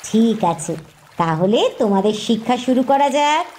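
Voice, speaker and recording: A woman's voice: a little high, expressive, normal speed, mellow, a little hiss or hum, close to an everyday voice. A woman voicing a mother duck in a cartoon, teaching her young in a firm, instructive way. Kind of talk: cartoon voice acting.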